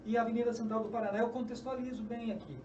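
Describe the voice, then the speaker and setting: A high-pitched middle-aged man with a Brazilian Portuguese accent tells a long story as an interview guest, lively and prone to restarting his sentences. He sounds set back from the mic in a roomy, echoey studio. Audible background noise sits under his voice. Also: rapid-fire. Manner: animated storyteller, gestures often, restarts phrases. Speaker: guest, journalist and author. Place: small indoor podcast studio. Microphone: desk mic on a stand. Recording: echoey, distant, dull, noisy.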